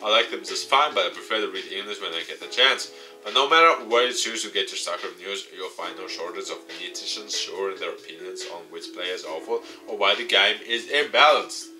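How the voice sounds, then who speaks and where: A man's voice: deep voice, fairly quick, sharp tone. A man, a gaming YouTuber, reads visual novel text aloud with his own asides, stumbling over words at times. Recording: music playing behind the voice.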